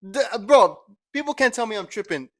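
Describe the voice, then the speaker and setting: Lively young man joking on a podcast. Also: sits high, fairly quick, no room sound, studio quality. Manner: swearing, joking, laughs a lot. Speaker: podcast co-host. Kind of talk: casual chat.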